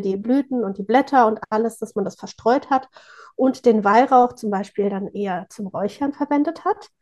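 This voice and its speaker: A woman co-hosting a podcast in a relaxed, chatty way, often pausing with fillers as she explains. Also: fairly high pitch. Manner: warm, chatty, with frequent filler pauses. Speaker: podcast co-host. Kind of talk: casual podcast chat.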